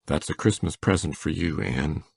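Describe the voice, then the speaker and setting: A woman playing a shy, gentle older countryman in an audiobook drama, hesitant and bashful. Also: rumbling low, normal speed, vocal fry, husky, no room sound, studio quality, heavily acted. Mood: shy, anxious. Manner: hesitant, bashful, repeating words.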